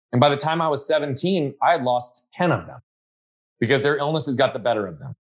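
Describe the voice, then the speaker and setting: A man in a conversational interview, speaking in an earnest, reflective way and often checking in with "right?". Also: medium pitch. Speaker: guest.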